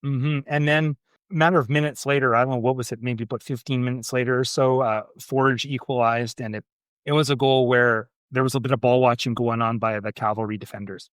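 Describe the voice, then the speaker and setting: A man's voice: mid-range voice, fairly even intonation, fairly quick, fairly bright tone, clear, resonant tone, no echo. Chatty man hosting a sports podcast. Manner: chatty, friendly recapping. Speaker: podcast host. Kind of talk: casual sports chat.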